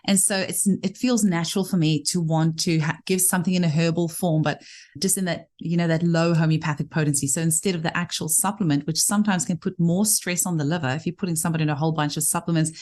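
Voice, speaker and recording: A woman's voice: low-pitched, fairly even intonation, fast talker, a little mellow. A woman who speaks as well as English, hosting a casual interview in a chatty, effusive manner. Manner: chatty, effusive, laughs easily. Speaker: podcast host and homeopath.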